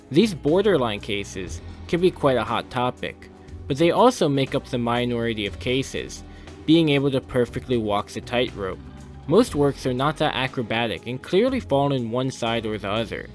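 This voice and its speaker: Lively, opinionated male video essay narrator. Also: mid-range voice. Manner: opinionated, literary, formal wording.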